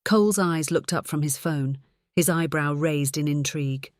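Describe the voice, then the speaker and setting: A man's voice: a little high, moderate pace, no echo, studio quality. A man reading scripted audiobook fiction as its narrator.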